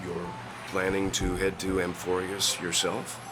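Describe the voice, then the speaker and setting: Low-voiced, tactful man. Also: completely flat delivery, unhurried. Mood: wary, questioning. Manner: polite, hesitant. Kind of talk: video game voice acting. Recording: loud music under the voice.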